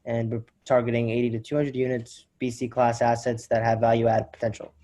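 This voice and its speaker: 19 yo guy on video call, flat even voice, kinda dark, quick businesslike answers. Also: mid-range voice. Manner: concise, businesslike. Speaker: guest.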